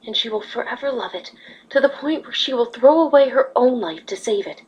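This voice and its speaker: A woman voicing a wise, motherly pony ruler in a fan fiction reading, speaking patiently and earnestly with a slightly husky voice. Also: on the high side, expressive, a little fast, darkish tone, lo-fi sound. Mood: earnest teaching.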